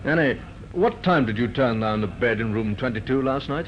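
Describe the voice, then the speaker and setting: An actor playing a shrewd, composed police inspector in a vintage radio drama, speaking with measured, probing authority. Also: male voice, mid-range voice, animated, natural voice. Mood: calm, probing. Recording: muffled, noisy old radio recording.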